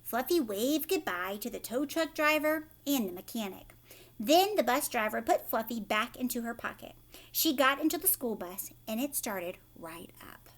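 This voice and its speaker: Female teacher for children's read-aloud: animated, fairly high voice, chats directly to young listeners. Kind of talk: storybook read-aloud with chatty asides to children.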